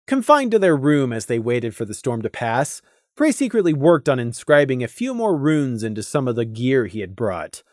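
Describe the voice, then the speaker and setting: A man reading a scripted story as a narrator, deliberate and expressive, with dramatic emphasis. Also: medium pitch, no room sound, studio quality. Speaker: audiobook narrator.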